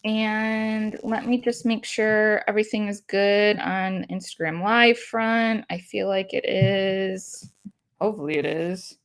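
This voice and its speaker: A woman's voice: mid-range voice, steady tone, slow, clear, resonant tone. A woman hosting a podcast in a bubbly, chatty way, laughing easily. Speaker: podcast host.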